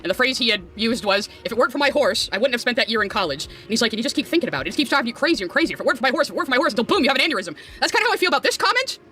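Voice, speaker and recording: An exasperated, sardonic commentator chats casually at a quick clip. The voice is bright and swings widely in pitch, with faint music behind it. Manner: exasperated, sardonic, animated storytelling. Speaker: video commentator.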